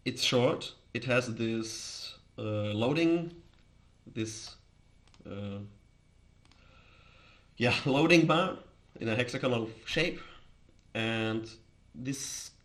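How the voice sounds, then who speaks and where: A man from Austria with an Austrian accent and German as his first language, chatting casually on a livestream in a hesitant, halting way. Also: mid-range voice, a bit bright. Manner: hesitant, halting, chuckles often. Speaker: live streamer, host.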